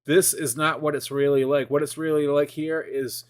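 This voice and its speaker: Rambling excitable man in his forties. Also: a little high, moderate pace, clear, resonant tone. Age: 40s. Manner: rambling, excitable, many fillers and restarts. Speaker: streamer. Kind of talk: casual gaming chat.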